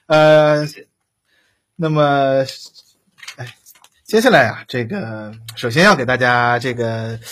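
Halting male host, mid-pitched voice. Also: fairly bright tone. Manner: halting, filler-heavy, repetitive. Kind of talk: live broadcast hosting chat.

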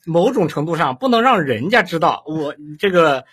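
A man in a casual livestream discussion, thinking aloud in a halting way and checking in as he asks and explains. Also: a little high, sing-song, a bit bright, husky.

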